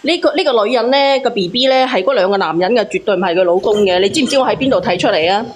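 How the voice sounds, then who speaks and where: A woman's voice: mid-range voice, animated, very fast. A woman arguing on a live panel in a blunt, interrogating, emphatic way. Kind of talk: argumentative live debate. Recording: clear background noise, clicks.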